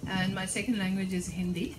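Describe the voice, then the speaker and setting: A woman author, a Bengali speaker, answers questions at a bookstore launch in a measured, hesitant way full of ums. Her pitch sits in a middle range for a woman. The live recording carries clear background noise. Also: little pitch movement. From India, Bengal. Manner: thoughtful, many ums and restarts. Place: bookstore event. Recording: noisy live event recording.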